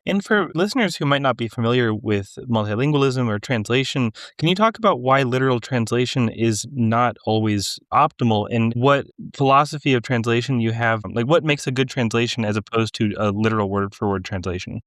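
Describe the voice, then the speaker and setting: A man's voice: mid-range voice, expressive, fairly quick, hardly any pauses, fairly bright tone, no room sound, clean studio sound. A man co-hosting a podcast who reads out announcements and asks long, carefully framed questions. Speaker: podcast co-host.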